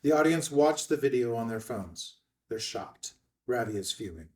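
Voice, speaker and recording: A man's voice: medium pitch, expressive, normal speed, a little mellow. A man narrating a screenplay, reading out scene headings and stage directions at a table read. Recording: slightly distant from the mic.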